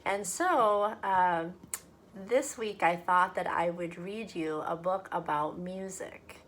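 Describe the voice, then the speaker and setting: A woman from a church talking to children and reading a storybook aloud in a deliberate, expressive way. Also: on the low side, slight background noise. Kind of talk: children's read-aloud and friendly talk to kids.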